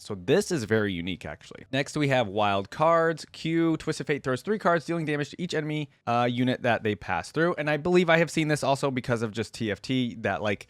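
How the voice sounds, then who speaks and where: A man giving casual reaction commentary, curious and conversational, reading aloud and thinking out loud. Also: mid-range voice, no echo. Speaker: video creator reacting to game lore.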